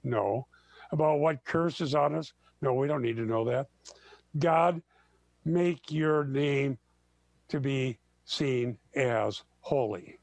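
An older man, host and pastor, teaching the Bible in an earnest, emphatic way with frequent pauses. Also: medium pitch, creaky, darkish tone. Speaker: radio show host and pastor.

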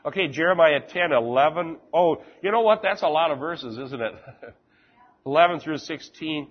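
A man teaching an informal Bible class, chatting with the group and reading scripture slowly and deliberately. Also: a little high, animated, lo-fi sound. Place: classroom with fans running.

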